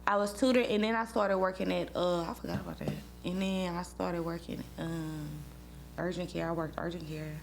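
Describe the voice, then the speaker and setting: A woman's voice: lowish voice, fairly even intonation, fairly quick. A woman in her late twenties chatting informally and teasingly as a podcast host, telling stories and bantering. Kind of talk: casual couple banter and storytelling. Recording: clearly noisy with a hum.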